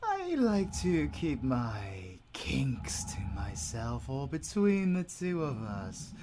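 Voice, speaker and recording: A man's voice: medium pitch, huge pitch swings, takes it slowly, background noise. A British man playing a bloodthirsty, theatrical werewolf villain in an audio roleplay, purring and swinging between whispers and cries. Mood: depraved relish.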